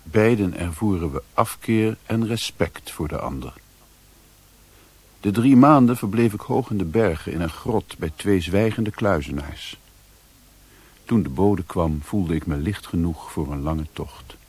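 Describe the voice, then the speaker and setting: An actor playing a reflective adventurer who narrates his journey in a radio play, in measured, sober, literary phrases. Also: sits low, a little mellow, slightly rough. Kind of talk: scripted first-person radio play narration. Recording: hissy old radio play recording.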